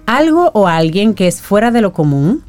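A woman co-hosting a morning radio show, playful and deliberate as she explains. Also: medium pitch, sing-song, a little mellow, no room sound, soft background music. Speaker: radio co-host. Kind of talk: lively radio chat.

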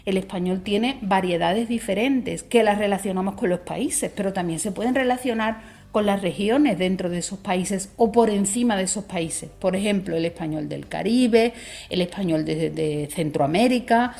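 Female expert guest, mid-pitched, measured explainer with conversational tag questions. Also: vocal fry, darkish tone. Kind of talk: conversational explanation on a talk show.

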